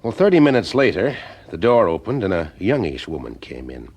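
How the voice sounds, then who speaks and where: Wry private detective, male actor: very low, husky, animated delivery, storytelling and questioning in audio drama. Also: a little fast, heavily acted. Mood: wry, narrating. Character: male private eye, wry, observant, informal. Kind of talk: first-person detective narration and dialogue.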